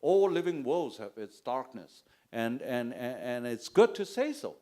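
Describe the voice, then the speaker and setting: A man with a Chinese accent, a poet answering on a bookstore panel, halting, with many restarts and fillers. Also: mid-range voice, sing-song, darkish tone. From China. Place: bookstore event space.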